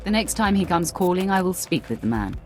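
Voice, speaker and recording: An imperious dark-side lord in a space-fantasy game. Her voice is on the low side for a woman, cool and sardonic, every phrase delivered as command. Faint music runs behind her. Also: very animated, a little fast. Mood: cool authority. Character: commanding, dry, imperious female Sith lord. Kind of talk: video game voice acting.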